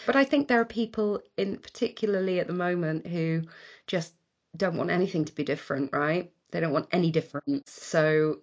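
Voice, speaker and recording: A Welsh woman, an actor, chatting casually in an interview, telling stories with laughs and quick restarts. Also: on the low side, highs cut off. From Wales.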